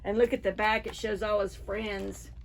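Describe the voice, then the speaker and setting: A woman's voice: mid-range voice, gravelly, rough recording. A woman hosting a preschool storytime, reading picture books expressively and putting questions to the young listeners. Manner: expressive, unhurried, asking the children questions. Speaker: children's library storytime host. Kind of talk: picture-book read-aloud for preschoolers.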